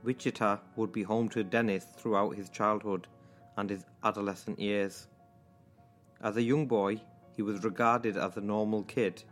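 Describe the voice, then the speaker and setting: A low-pitched man with a Mancunian accent co-hosts a podcast, talking casually and hesitantly, with plenty of ums. Faint music plays behind him. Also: fairly even intonation, moderate pace. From England. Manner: hesitant, lots of ums and restarts. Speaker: podcast co-host. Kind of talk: casual chat and scripted true-crime narration.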